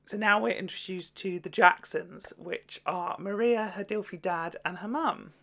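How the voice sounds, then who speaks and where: A woman's voice: mid-range voice, a little slow, vocal fry. A woman hosting a casual, chatty video review, gushing and jokey with sweary asides. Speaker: video host.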